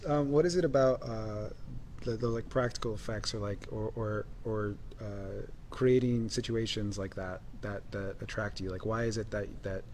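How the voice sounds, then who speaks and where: Low-voiced man, halting interviewer. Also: steady tone, a little mellow. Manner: hesitant, many fillers and restarts. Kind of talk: casual interview questions. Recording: faint background noise.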